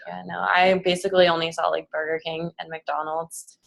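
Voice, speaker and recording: An upbeat nineteen-year-old woman answers panel questions in a casual, chatty way. Her voice sits on the low side. She fills in quick asides as she talks. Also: little pitch movement, vocal fry. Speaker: student panelist.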